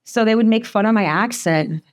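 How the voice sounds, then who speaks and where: A woman in her forties, a divorce attorney telling her story as a podcast guest, talking fast and chatty with frequent laughs and a slight Northeastern American accent. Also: mid-range voice. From Sweden. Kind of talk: candid podcast conversation.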